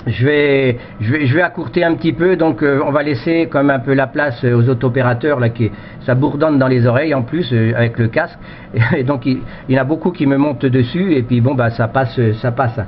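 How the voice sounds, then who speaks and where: A man's voice: medium pitch, brisk. A man talking casually as an amateur radio operator, repeating himself in stop-start phrases over a two-way radio link. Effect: two-way shortwave radio transmission. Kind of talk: casual radio contact chat. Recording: muffled radio signal with static.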